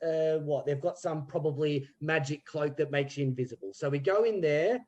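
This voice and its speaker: A man's voice: fairly high pitch, moderate pace, a little mellow, dull audio, close to an everyday voice. A man doing a put-on British accent as a scheming petty crook in an improv scene, rambling with slang and restarts. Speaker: improv player.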